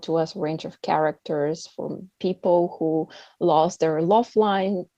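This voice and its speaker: A woman hosting an online event, introducing things in a halting, hesitant way with many pauses. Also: low-pitched, steady tone, mellow, no room sound. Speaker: host of a festival talk. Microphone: video call. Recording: dull, band-limited online call sound.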